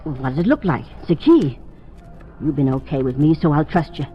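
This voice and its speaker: A woman's voice: low-pitched, very animated, a little fast. A woman playing a wary, fatalistic American informant in a 1950s radio drama, slangy and world-weary. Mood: resigned. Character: wary, fatalistic female underworld informant selling evidence. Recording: dull old radio recording.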